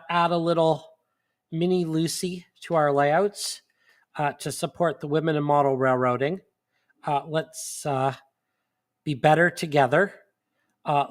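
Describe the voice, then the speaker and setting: A man hosts a monthly live stream from what sounds like a home desk setup, talking slowly and loosely with plenty of fillers and restarts. He is sniffly, and his voice sits a little high for a man. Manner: rambling, with many fillers and restarts. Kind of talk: casual live-stream chat.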